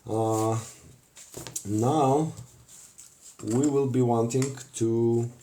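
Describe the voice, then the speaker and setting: A man with a Bulgarian accent hosts a casual live crafting demonstration, talking haltingly with many fillers and restarts. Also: mid-range voice, fairly even intonation, dark tone, slight background noise. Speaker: craft livestream host.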